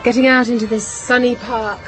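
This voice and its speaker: An actress playing a devoted, gently firm English wife and mother in a radio drama. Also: a little high, steady tone, a little fast, music in the background, crowd noise, close to an everyday voice, poor audio. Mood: brisk, fond.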